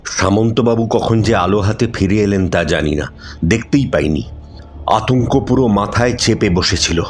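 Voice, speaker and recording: Low-voiced man narrating a horror story. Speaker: first-person story narrator. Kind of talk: dramatic scripted horror narration.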